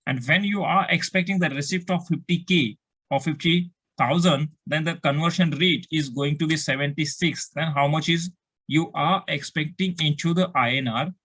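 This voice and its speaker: A man's voice: a little high, normal speed, a bit bright, breathy edge, rough recording. A man with an Indian accent giving a step-by-step tutorial as an accounting instructor, asking questions and then answering them, often repeating himself.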